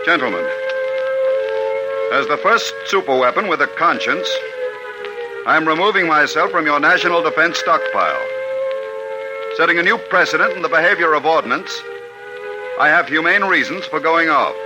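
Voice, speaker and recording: An actor playing an impatient, commanding army general who barks urgent orders in an old radio drama. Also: male voice, a little high, expressive, normal speed, pauses often, close to an everyday voice, poor audio. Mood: grave reading. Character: commanding, impatient male army general running a weapons test. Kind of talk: mid-century radio drama acting. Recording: old radio recording with loud music.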